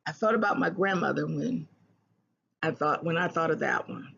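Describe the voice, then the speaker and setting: A speaker giving earnest relationship advice in a self-help talk, often restarting phrases and slowing down to stress a point. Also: medium pitch, gravelly, a bit bright, dull audio, slight background noise. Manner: earnest, repeats and restarts phrases, slows for emphasis.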